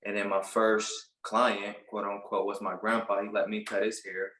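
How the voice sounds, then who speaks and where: A man in his late twenties from Puerto Rico, a barber telling his story in a casual interview, relaxed and halting with many fillers. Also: on the low side, roomy sound. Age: late 20s. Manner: relaxed, halting, lots of "like" and restarts. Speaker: barber and business owner, guest.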